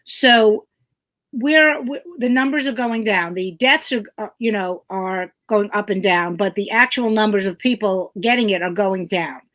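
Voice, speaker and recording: A middle-aged woman comedian with a loud voice, ranting emphatically and swearing freely in casual chat. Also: mid-range voice, a little slow. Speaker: comedian guest.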